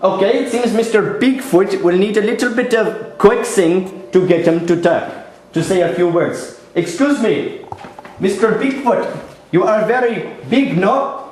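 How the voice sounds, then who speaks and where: A man's voice: high voice, a little slow, darkish tone, a little hiss or hum. A man voicing a naive, chatty Indian TV field reporter in a comedy sketch, speaking rambling broken English with an Indian accent. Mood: coaxing, curious. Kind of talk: parody news field report. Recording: roomy echo, faint music behind.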